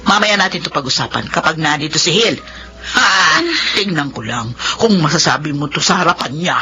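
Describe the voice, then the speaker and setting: A woman's voice: deep voice, lively intonation, brisk, pushed and bright, soft background music. Haughty, swooping Filipino mother-in-law. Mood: scornful, indignant. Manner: sarcastic, indignant, scolding. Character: haughty, domineering older mother-in-law, easily offended and scornful. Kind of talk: Filipino radio drama acting.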